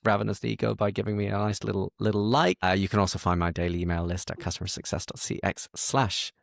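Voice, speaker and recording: A man's voice: on the low side, fairly even intonation, quick pace, creaky, no room sound, studio quality. A man hosting a casual interview and walkthrough, playful and self-deprecating, often repeating words as he goes. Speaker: podcast host and support consultant.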